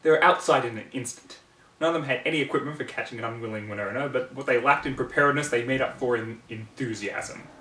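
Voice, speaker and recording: A man's voice: mid-range voice, fast talker, bright, forceful tone. A man reading fan fiction aloud at home in an amateur storybook narration style. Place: room at home. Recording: roomy, distant mic, home recording.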